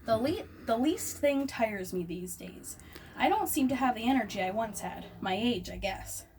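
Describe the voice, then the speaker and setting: Woman reader as storybook spider lady, courteous, dry, measured, on the higher side, slightly off-mic. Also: lively intonation, a little mellow. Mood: weary. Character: polite, wry, weary female spider friend. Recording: distant microphone, noisy room ambience.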